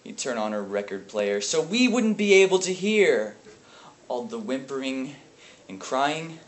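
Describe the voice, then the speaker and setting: A man performing a dramatic monologue as a haunted, bitter, grieving brother, speaking in a halting, intense way. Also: mid-range voice, big pitch swings. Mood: haunted pain. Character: grief-stricken brother, haunted and bitter over family abuse. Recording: echoey, noisy room from far off.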